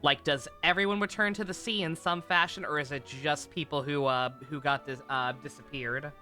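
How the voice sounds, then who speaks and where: Very bright-voiced man live-streaming a game. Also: fairly high pitch, fairly even intonation, moderate pace. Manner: rambling, joking. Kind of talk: casual live-stream commentary. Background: game music.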